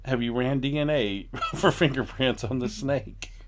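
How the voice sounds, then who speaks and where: A man's voice: mid-range voice, unhurried, noisy background. A man playing reporter in an improvised comedy interview, putting skeptical, teasing questions to an eyewitness.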